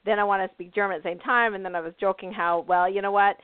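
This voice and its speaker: A woman's voice: mid-range voice, fairly even intonation, fast, clean tone, no room sound. A woman hosting a radio show, asking questions in a chatty way with many fillers. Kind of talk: radio interview chat. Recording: dry, tinny phone-like sound.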